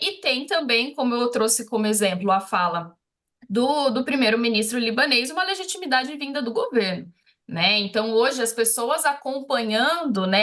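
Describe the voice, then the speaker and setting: A Brazilian woman speaks as an expert on a news program, in a fairly high voice. She explains and analyzes off the cuff, often adding small tags to check that she is being followed. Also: a bit bright. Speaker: expert commentator interviewed on a news show. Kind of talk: spontaneous analytical news commentary.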